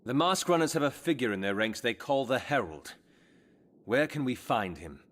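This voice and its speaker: An actor playing a steady investigator in a fantasy video game, measured, earnest and authoritative. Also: male voice, medium pitch. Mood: businesslike. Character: steady, commanding male investigator in fantasy city. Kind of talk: dramatic video game voice acting.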